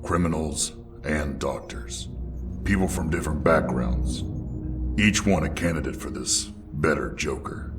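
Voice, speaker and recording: A man voicing a grim, brooding vigilante detective in a comic dub, stern and deliberate. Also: rumbling low, recorded from a distance, over music. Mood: grim, measured. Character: Batman, grim brooding middle-aged male vigilante detective.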